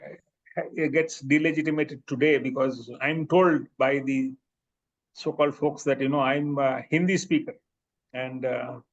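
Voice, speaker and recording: A man with an Indian English accent, a professor answering audience questions in a thoughtful way, pausing with fillers and restarting sentences. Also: medium pitch, fairly even intonation. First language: Bhojpuri. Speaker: presenting professor. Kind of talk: academic Q&A answer. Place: seminar room. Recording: slightly muffled recording.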